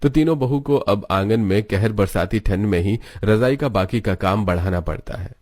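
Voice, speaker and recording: A man's voice: lowish voice, moderate pace, darkish tone. A man reading scripted storybook narration for a cartoon.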